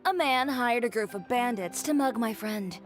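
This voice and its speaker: A blunt, cool-headed boutique owner from a game, played by a woman, with a voice a little higher than most women's. She sounds curt, confident and wry. Game music plays behind her. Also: animated, normal speed, lightly acted. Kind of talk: game cutscene voice acting.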